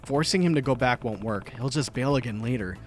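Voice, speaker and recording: A man voicing a gruff, calm male game protagonist in a low, dry, understated tone. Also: fairly quick, a little hiss or hum, close to an everyday voice. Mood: deadpan.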